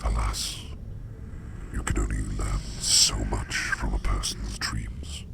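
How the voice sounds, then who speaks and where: Man playing a gravelly, whispering, very deep sleep demon. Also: little pitch movement, noisy background, full character voice. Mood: intrigued, courteous. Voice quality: breathy whisper over very deep gravelly creak. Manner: slow, formal, musing, deliberate phrasing. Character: curious, enigmatic male sleep demon visiting dreams. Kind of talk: whispered ASMR roleplay, personal attention.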